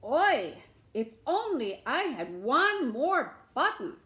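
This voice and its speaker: A wily beggar man from a storybook, played by a woman, pitched high and swooping dramatically. He implores and persuades in exclamatory, theatrical phrases. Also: very animated, slow, a bit bright, heavily acted. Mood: sly wishing. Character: wily, pleading old-world male beggar, dramatic and persuasive. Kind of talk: storybook read-aloud.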